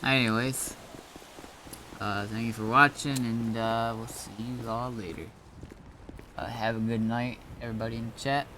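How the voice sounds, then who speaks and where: A man streaming a game as an admin, chatting casually in a halting, explanatory way with some swearing. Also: mid-range voice, steady tone, pushed and bright, slight background noise.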